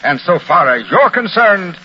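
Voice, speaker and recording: An actor playing a pompous military-minded swindler in an old radio crime drama, speaking in formal, wordy phrases. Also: male voice, high-pitched, big pitch swings, a little slow. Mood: smug, unruffled. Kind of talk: 1940s-50s radio crime drama acting. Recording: muffled, faintly noisy old radio recording.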